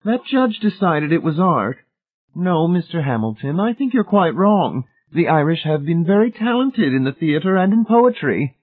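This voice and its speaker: A man's voice: a little high, very animated, moderate pace, phone-quality sound, pitched above the performer's own voice. A man voicing a prim, sanctimonious, fussy older woman in an audiobook, with genteel fluttery manners. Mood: decided. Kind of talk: audiobook character voice.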